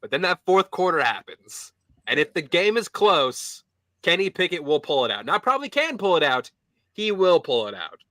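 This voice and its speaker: A man talking football on a podcast, his voice bright and lively with wide swings in pitch. He jokes and catches his own slips as he goes, and the sound is dry and close. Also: no echo. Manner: animated, joking, quick self-corrections. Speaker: podcast co-host. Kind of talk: casual sports chat.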